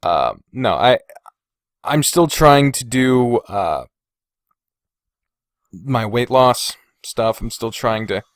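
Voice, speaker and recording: Male podcast host, middle register with animated inflection; loose, digressive, self-mocking chat full of swearing, dry USB-mic recording. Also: mid-range voice, slow, pauses often, dry recording. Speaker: podcast host and video creator. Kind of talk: casual rambling solo podcast chat. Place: indoors in a bedroom. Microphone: Blue Snowball omnidirectional USB microphone.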